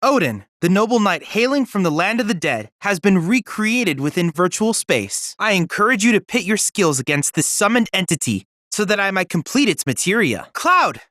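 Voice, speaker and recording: Young android boy researcher, video game dialogue, earnest, precise, polite, animated intonation, close dry sound. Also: mid-range voice, normal speed, hardly any pauses, no echo. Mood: encouraging, courteous. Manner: formal, precise, courteous, earnest.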